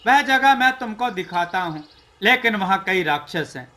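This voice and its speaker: A man voicing a dignified, fatherly storybook king who speaks in a stately, deliberate way. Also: sits high, expressive, sharp tone. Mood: grave, commanding. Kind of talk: storybook character dialogue.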